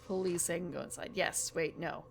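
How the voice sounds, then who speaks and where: A woman's voice: lowish voice, fairly even intonation, a little fast, a little hiss or hum. An American woman giving casual, rambling live game commentary as a streamer, chatting informally with viewers. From United States.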